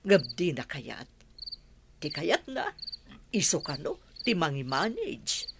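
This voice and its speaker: Lowish, swooping matriarch played by a woman. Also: huge pitch swings, takes it slowly, heavily acted. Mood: exasperated. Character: worried, exasperated matriarch and employer of the house. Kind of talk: Ilocano radio drama acting. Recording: clearly noisy background.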